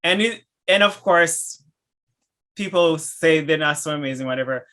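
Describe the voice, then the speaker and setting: A man with a Haitian accent talks in a bright, ringing tone that sits a little high. He is an enthusiastic podcast host who laughs often. His phrases come in halting bursts with frequent restarts. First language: French and Haitian Creole. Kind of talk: casual interview chat.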